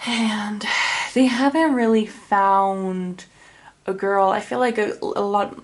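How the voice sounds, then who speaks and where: Finnish-accented woman doing a tarot reading, rambly and chatty, says sorry a lot. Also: medium pitch. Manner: rambling, chatty, self-correcting, apologetic. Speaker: tarot reader. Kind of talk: casual tarot reading to camera.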